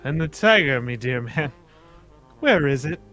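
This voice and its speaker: A man puts on a pompous old-fashioned gentleman for a radio play. He is theatrical and excitable, with a mid-range voice that swings widely. Music plays behind him. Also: mid-range voice, a little slow. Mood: astonished. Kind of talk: live old-time radio play table read.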